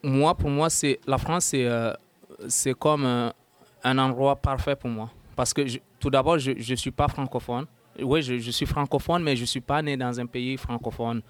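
A young man from Sudan in his twenties giving an earnest personal testimony as an interview guest, halting and searching for words, turning forceful when indignant. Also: mid-range voice, fairly even intonation, no room sound. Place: at a housewarming gathering.

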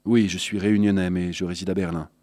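A man's voice: deep voice, flat delivery, fast talker, warm tone, no echo, studio quality, natural voice. A man voicing an edgy, scornful young hacker on the run in an audiobook, curt and foul-mouthed. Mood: guarded.

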